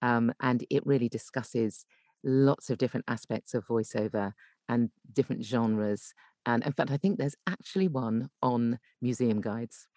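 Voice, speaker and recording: A woman's voice: a bass voice, measured pace, warm tone, no room sound, studio quality. A woman giving tips as a podcast guest in casual, chatty and emphatic conversation. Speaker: podcast guest, voice actor and coach.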